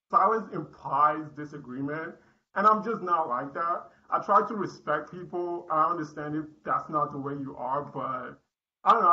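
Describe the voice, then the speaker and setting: A man with a deep voice delivers a personal speech to his club in a direct, candid way, often restarting his sentences. His tone is strikingly bright and forceful, and the recording sounds dull and lo-fi. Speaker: club speaker.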